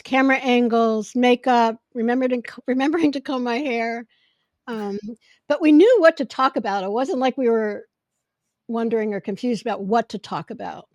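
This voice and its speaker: A woman hosting a podcast in casual chat, with a loud voice that projects when she gets excited. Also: a little high, no echo, clean studio sound. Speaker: podcast host. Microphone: podcast microphone.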